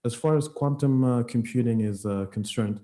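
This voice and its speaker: A man speaking as a panelist in an online discussion, measured, with thoughtful fillers. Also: mid-range voice, warm tone.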